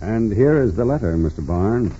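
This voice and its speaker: An actor playing a dignified, formal judge in a 1940s radio drama, speaking in a measured, courteous and hesitant way. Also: male voice, lowish voice, animated, warm tone, natural voice. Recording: muffled, noisy 1940s radio recording.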